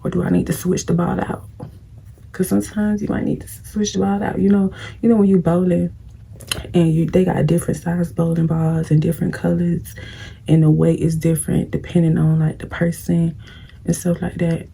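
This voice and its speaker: Low gravelly woman giving blunt advice. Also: flat delivery, moderate pace, mellow. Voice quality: scratchy. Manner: blunt, preachy, talks straight to viewers. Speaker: vlogger. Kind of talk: casual get-ready-with-me advice chat.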